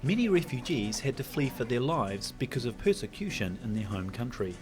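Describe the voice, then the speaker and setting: Guy narrating a documentary from a script, animated delivery, music behind him. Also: mid-range voice, a little fast.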